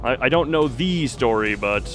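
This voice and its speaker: A man's voice: medium pitch, expressive, normal speed, a bit bright. A man hosting a casual game livestream in a mid-range voice. He rambles playfully in loose asides, with faint game music behind him. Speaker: livestreamer playing and voicing a game. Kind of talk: casual live stream chat.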